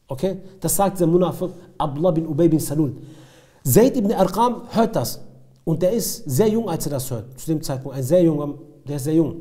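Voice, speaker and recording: A man's voice: a little high, mellow. A man preaching an Islamic lecture, speaking emphatically, repeating key phrases and asking rhetorical questions. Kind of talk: religious lecture with recited Arabic verses and retold stories.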